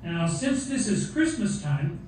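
A jolly old Santa puppet played by a man hosts a children's Christmas show in a jovial, high voice. It carries from far off across a very echoey hall. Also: sing-song, measured pace, a little mellow, a little hiss or hum. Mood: announcing. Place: theatre, behind puppet stage curtain. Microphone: far camera.

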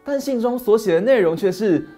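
A middle-aged man tells a fairy tale with dramatic, animated delivery. He is recorded on a lapel mic in a small study, with faint music underneath. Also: high-pitched, brisk. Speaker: storyteller host. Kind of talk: scripted fairy-tale narration. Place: small dim study set.

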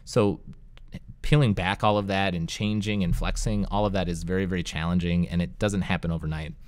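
A man with a very low, deep voice talks through city planning issues at a steady, considered pace. He co-hosts a local government discussion show, explaining things thoughtfully and pausing on fillers as he goes. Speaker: city planning director, co-host. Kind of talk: casual policy discussion.

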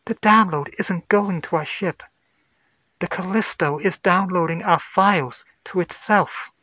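A man's voice: sits high, a little slow, pushed and bright, no echo, highs cut off, voice pushed up, full character voice. A man voicing an anxious woman science officer, urgent and explanatory, in a sci-fi horror audio story. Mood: alarmed.

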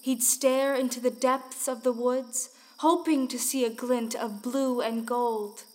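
A woman narrating a folktale audio play with deliberate, dramatic storytelling. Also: on the high side, steady tone. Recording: music under the voice.

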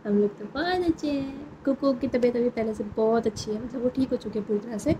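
A young woman with a slightly hoarse voice from a cold, chatting casually to her phone camera at home and baby-talking in a sing-song way. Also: on the high side, fast, noisy background. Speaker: family vlogger. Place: small room at home, indoors. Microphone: phone camera held close.

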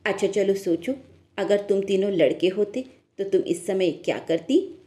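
A woman's voice: medium pitch, a little slow, mellow, lightly acted. A woman voicing a caring, encouraging village mother of three daughters in a cartoon story.

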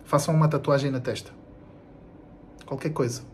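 A young man with a European Portuguese accent making a casual, slangy vlog announcement to camera, blunt and animated, repeating himself for emphasis. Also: medium pitch, normal speed, slight background noise. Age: young adult. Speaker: video creator and musician. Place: small room indoors at home.

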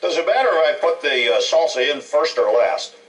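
Guy co-hosting cooking show, higher voice, swoops around, joking, mic kinda distant. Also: animated, fairly quick, a bit bright. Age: middle-aged. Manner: teasing, wisecracking. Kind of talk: joking casual banter. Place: TV studio kitchen. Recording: distant mic, old TV broadcast tape.

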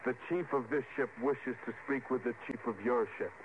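An actor playing a dutiful starship communications officer, earnest and deferential, in 1950s radio drama style. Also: male voice, mid-range voice, steady tone, normal speed, close to an everyday voice. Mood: focused. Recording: noisy, phone-like vintage radio recording.

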